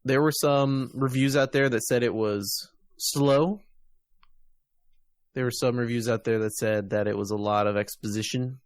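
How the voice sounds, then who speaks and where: A man's voice: medium pitch, fairly even intonation, a little slow, no room sound, clean studio sound. An American man hosting a podcast, chatting casually about games and media. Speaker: podcast host.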